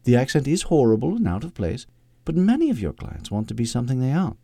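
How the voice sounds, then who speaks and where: A man's voice: mid-range voice, big pitch swings, quick pace, vocal fry, mellow, no room sound, clean studio sound, pitched above the performer's own voice. A man voicing a prim, imperious elderly British woman, a secretary in an audiobook story. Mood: dry, firm.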